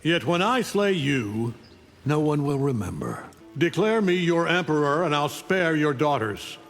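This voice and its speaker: A man's voice: a little high, lively intonation, unhurried, a bit bright, in a large hall, faint music behind. A man voicing an arrogant, menacing alien conqueror in a video game, with a contemptuous, commanding manner. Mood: dismissive. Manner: contemptuous, taunting, coldly commanding. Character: arrogant, menacing alien conqueror, a middle-aged superhuman father. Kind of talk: video game voice acting, fight intro taunts.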